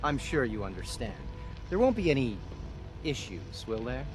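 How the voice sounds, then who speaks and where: A man's voice: mid-range voice, sing-song, normal speed, natural voice. Game bureaucrat, male actor: suspicious bureau director, mid-range voice with emphatic swings, cold and officious, music underneath. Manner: clipped, officious, condescending. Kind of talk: video game dialogue acting.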